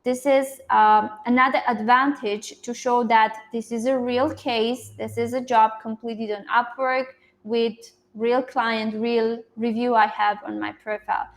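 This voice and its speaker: A woman's voice: on the high side, little pitch movement, measured pace, hardly any pauses. A woman coaching a group informally on an online call in an encouraging, affirming manner.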